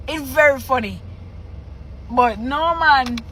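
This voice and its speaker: Slow, high-voiced Jamaican woman. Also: bright, forceful tone, a little hiss or hum. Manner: incredulous, laughing, emphatic repetition. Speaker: reaction video host. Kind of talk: casual reaction commentary, reading aloud.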